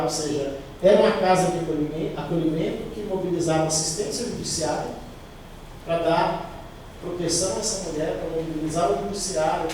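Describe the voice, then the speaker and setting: A man's voice: high-pitched, darkish tone. A man answering students' questions, explaining at length and often checking in with tag questions. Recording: echoey room, mic far off, faint noise.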